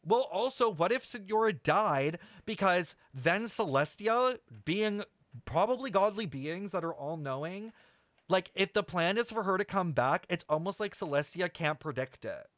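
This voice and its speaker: A man streaming casual game commentary, talking to chat in an animated, slangy, sweary way. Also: high voice, moderate pace, no echo. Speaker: streamer reacting to a video game. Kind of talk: casual livestream commentary and lore speculation.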